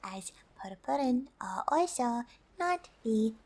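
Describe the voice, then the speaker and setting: A woman voicing a gentle, polite female alien for an ASMR roleplay, in a soft breathy whisper with slow, hesitant, stilted English. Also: fairly high pitch, animated, exaggerated voice. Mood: earnest, instructive. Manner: slow, deliberate, hesitant, refers to herself in third person.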